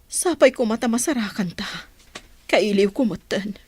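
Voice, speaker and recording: A woman's voice: medium pitch, expressive, normal speed, a little mellow, husky, no echo, studio quality. An actress playing an anxious, insistent woman in a radio drama, urging and pleading. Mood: anxious longing. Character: anxious, insistent woman searching for a missing loved one.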